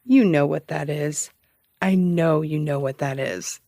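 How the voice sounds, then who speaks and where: An actress playing a brash, dogged ex-cop turned private detective in an audio drama, with a wry, insistent, teasing way of talking. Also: female voice, sits low, measured pace, vocal fry, mellow, no room sound, studio quality. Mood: pressing. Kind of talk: naturalistic audio drama dialogue.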